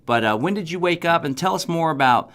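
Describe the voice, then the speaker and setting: A man hosting an interview, loose and slangy, asking his guest questions with excitement. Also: on the high side, brisk. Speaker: host.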